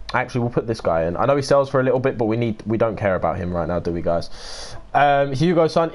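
A young man with a croaky voice, chatting casually and swearing as he livestreams a game and greets viewers by name. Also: on the low side, darkish tone, a little hiss or hum. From United Kingdom. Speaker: streamer. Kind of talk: casual gaming livestream chat.

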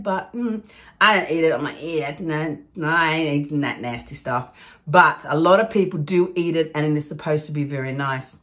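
Chatty Australian woman in her studio. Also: on the low side. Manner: chatty, laughing, exclaims over her work. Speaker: mixed media artist. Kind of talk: casual craft demo chat. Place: home art studio, indoors.

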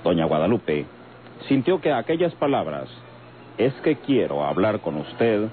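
An actor as the solemn narrator of an old radio soap, telling the story gravely and formally. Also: male voice, on the low side, big pitch swings, measured pace, a little hiss or hum. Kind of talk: scripted dramatic radio narration. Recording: tinny old radio recording, loud music.

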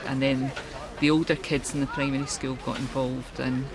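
A woman's voice: extremely low pitch, level tone, normal speed, a crowd in the background, rough recording. A middle-aged woman, talking informally in an interview as a club volunteer. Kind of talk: casual interview. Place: open-sided tent at a sports field. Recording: loud music over outdoor interview.

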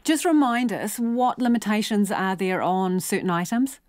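A woman's voice: medium pitch, moderate pace, darkish tone, no room sound. Woman news presenter, direct. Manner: direct, probing questions. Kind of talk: live radio news interview. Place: radio studio. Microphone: studio microphone.

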